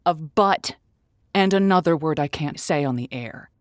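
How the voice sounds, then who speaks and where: An actress playing a patient, slightly awkward radio advice host with a halting, understated delivery in a comic audio drama. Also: female voice, deep voice, expressive, dry recording, clean studio sound. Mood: tactful, bemused.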